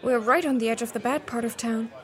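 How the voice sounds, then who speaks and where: A woman's voice: a little high, fairly even intonation, quick pace, a bit bright, lightly acted. A woman playing a playful, flirtatious mysterious stranger with a breezy, teasing manner in a fantasy audio drama. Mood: teasing, affectionate. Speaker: guest voice actor.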